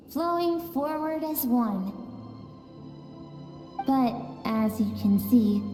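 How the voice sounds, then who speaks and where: An actress voicing a gentle, cryptic female spirit guide in a video game, speaking softly in an unhurried, musing way. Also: high-pitched. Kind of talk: video game story voice acting. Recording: reverberant game audio with music.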